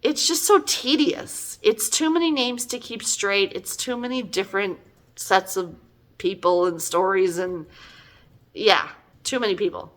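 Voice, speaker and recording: A woman's voice: mid-range voice, unhurried, a bit bright. A middle-aged woman giving a casual, chatty book review in an exasperated, emphatic, conversational way.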